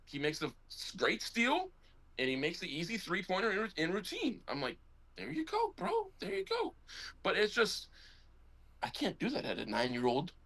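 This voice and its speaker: Casual male co-host, high-ish bright voice, lively rambling storyteller. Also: moderate pace, creaky. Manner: rambling, animated storytelling, loud when excited. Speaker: podcast co-host and youth basketball coach. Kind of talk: casual sports chat.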